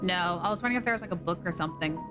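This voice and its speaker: A woman's voice: fairly low pitch, rapid-fire, over music. A woman streamer chatting expressively as she plays and reads the dialogue aloud. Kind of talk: casual game commentary and reading dialogue aloud.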